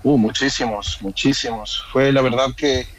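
A man's voice: mid-range voice, fairly even intonation, moderate pace, a loud crowd, poor audio. Friendly man from Ecuador on a video call. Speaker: guest, bar owner. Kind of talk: casual interview over video call.

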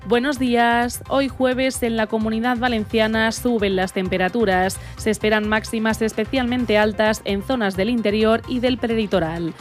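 A woman's voice: on the high side, fairly even intonation, moderate pace, soft background music. A woman with an accent from Spain reading a scripted radio weather forecast.

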